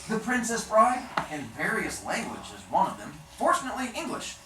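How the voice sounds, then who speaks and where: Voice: mid-range voice, wildly swooping pitch, moderate pace, echoey room, recorded from a distance, background noise, pitched above the performer's own voice. A reader voicing a cheerful wife passing along news on the phone. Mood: chatty.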